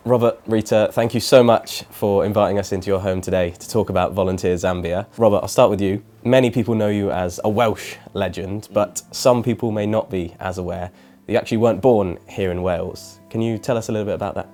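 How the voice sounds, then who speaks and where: A man's voice: fairly low pitch, fairly even intonation, a little fast, hardly any pauses, warm tone, faint music behind. A man working as an interviewer, asking questions and explaining things in a prepared, informative way. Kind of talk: interview questions and scripted introduction.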